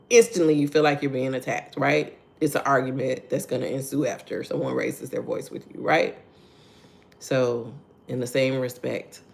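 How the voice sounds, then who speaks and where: A soft-spoken woman with a Texan accent, leading a slow, conversational morning devotional and greeting viewers by name. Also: low voice, gravelly. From Texas. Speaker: devotional host. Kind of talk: live-streamed morning devotional.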